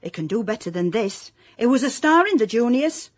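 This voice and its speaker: An actress playing a nagging, gossipy middle-aged South Yorkshire mother in a comic radio drama, fussing and deadpan. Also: fairly high pitch, normal speed. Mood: disapproving.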